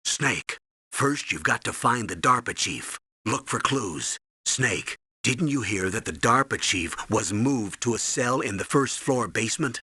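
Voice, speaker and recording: Older American military commander for a game: authoritative, clipped orders, gravel-edged, dry close sound. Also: male voice, medium pitch, lively intonation, a little slow, bright, forceful tone, no room sound, exaggerated voice. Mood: instructive. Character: stern older military colonel giving mission orders by radio. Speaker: mission commander and briefer. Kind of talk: scripted video game radio briefing.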